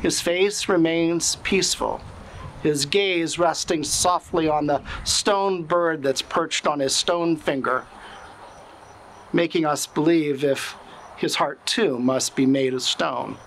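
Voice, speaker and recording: Slow, high-voiced middle-aged priest preaching. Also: male voice, fairly bright tone, slight background noise. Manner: slow, earnest, reflective preaching. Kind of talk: sermon. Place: outdoors on a sunny lawn.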